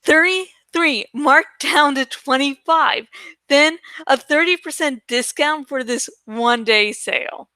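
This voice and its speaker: A brash teenage girl in a storybook, acted out by a woman reader. Her voice is high and bright, close and dry. She boasts with crowing emphasis. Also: takes it slowly, no room sound, full character voice, rough recording. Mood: triumphant.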